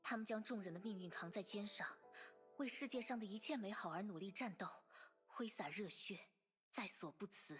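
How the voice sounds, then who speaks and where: A woman acting as a composed storyteller narrates a video game tale in a scripted, measured style. Her voice sits at a medium pitch, with faint music underneath. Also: a bit bright, close to an everyday voice. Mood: composed, solemn.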